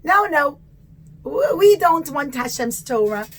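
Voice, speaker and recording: Woman voicing stubborn, high-pitched puppet nation folk. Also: big pitch swings, unhurried. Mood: dismissive refusal. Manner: emphatic, repeated refusals with hesitant interjections. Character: stubborn, dismissive foreign nations refusing an offer.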